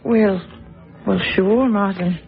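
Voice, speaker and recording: A woman playing a warm schoolteacher who visits a sick pupil, talking gently and reassuringly in a radio drama. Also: medium pitch, fairly even intonation, slow, gravelly, phone-quality sound, soft background music. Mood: gentle. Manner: gentle and reassuring, with easy laughs. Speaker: teacher.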